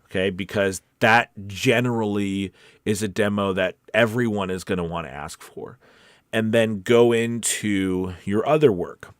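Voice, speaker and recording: A man's voice: fairly low pitch, a little slow, clear, resonant tone, dry recording, clean studio sound. A man hosting a casual livestream Q&A as a voice-over coach, talking in a conversational, rambling and encouraging way.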